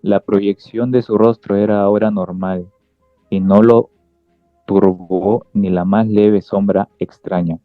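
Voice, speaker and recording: A man's voice: fairly low pitch, fairly even intonation, takes it slowly, no room sound. A man reading scripted literary narration as the narrator of a radio drama. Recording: dull lo-fi sound, music behind.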